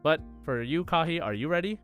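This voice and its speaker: A man's voice: fairly high pitch, expressive, brisk, a bit bright. A man hosting a podcast quiz in a casual, chatty style. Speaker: podcast host and quizmaster. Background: faint background music.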